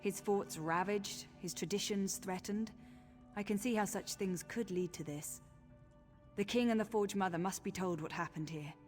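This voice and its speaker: Brave orc high priestess, played by a woman, video game drama: resolute, protective, medium register. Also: normal speed, darkish tone, natural voice. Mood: thoughtful, concerned. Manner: resolute, earnest, reflective. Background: game music.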